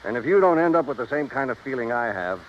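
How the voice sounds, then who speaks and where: A man's voice: medium pitch, animated, fast, close to an everyday voice. A dull, faintly noisy old radio recording of an actor playing an American insurance man. He sounds earnest and suspicious, in a middling male register with period drama delivery. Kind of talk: 1950s radio drama acting. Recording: muffled vintage radio recording, faint noise.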